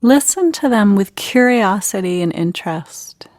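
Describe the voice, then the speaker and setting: Slow-paced woman guiding a meditation. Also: medium pitch, lively intonation, no room sound, clean studio sound. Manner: unhurried, instructional, gentle phrasing with pauses. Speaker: meditation guide. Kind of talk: guided meditation.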